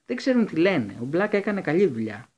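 A man's voice: high-pitched, very animated, darkish tone, voice pushed up. A man voicing a cold, resentful male professor with a snide, sneering manner.

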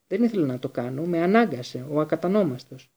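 Man acting a high, whimpering, cowardly grovelling traitor. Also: sing-song, dark tone, lo-fi sound, exaggerated voice. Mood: terrified whining. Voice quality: breathless, whimpering. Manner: pleading, stammering repetition.

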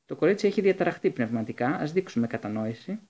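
A man's voice: fairly high pitch, lively intonation, warm tone. A man voicing a pompous, patronising male government minister who brushes off the children. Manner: condescending, placating.

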